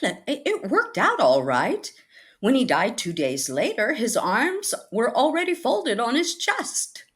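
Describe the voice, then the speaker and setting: A woman's voice: fairly high pitch, huge pitch swings, a little slow, fairly bright tone, exaggerated voice. Woman playing a swoopy, morbid, ailing spinster. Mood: cheerfully morbid. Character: cheerfully morbid, ailing, scatterbrained spinster lady. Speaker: actress in a radio comedy recreation. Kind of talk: 1940s radio comedy acting.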